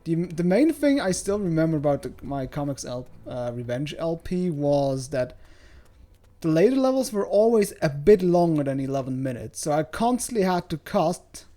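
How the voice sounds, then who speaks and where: A man's voice: on the high side, very animated, normal speed. A man from Switzerland giving casual, rambling, chatty livestream commentary while playing a game, with some joking swearing. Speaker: game streamer. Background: soft game music.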